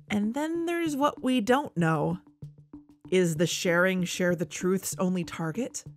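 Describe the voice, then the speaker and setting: A woman's voice: mid-range voice, a little mellow. An actress as an earnest woman investigative podcaster, with a mid-range voice over faint music. Her scripted narration is serious and conversational. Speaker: host. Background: background music.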